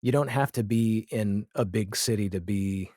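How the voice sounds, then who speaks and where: A man's voice: on the low side, normal speed, a little mellow, no room sound, studio quality. A man speaking as a podcast guest in a casual, reflective interview conversation, explaining his thoughts with examples. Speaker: podcast guest, audio drama creator.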